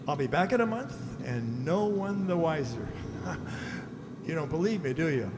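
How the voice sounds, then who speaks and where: A man acting in an amateur radio drama as a henpecked husband, chummy and confiding, quietly smug about his secret scheme. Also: a little high, animated, moderate pace, close to an everyday voice. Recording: echoey hall, faint background noise.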